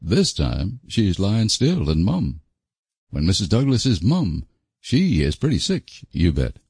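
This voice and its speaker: A man's voice: sits low, huge pitch swings, unhurried, vocal fry, darkish tone, breathy edge, no room sound, clean studio sound, exaggerated voice. A man voicing a solemn, plain-spoken country man in a storybook, with a dour, folksy manner. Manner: folksy, dour rustic talk. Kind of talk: audiobook character voice.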